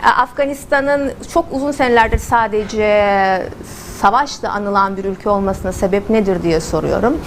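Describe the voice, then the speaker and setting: A woman's voice: medium pitch, slight background noise. A woman hosting a live TV interview, asking questions and interpreting the answers with frequent hesitations. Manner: halting, frequent fillers. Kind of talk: live TV interview, switching languages.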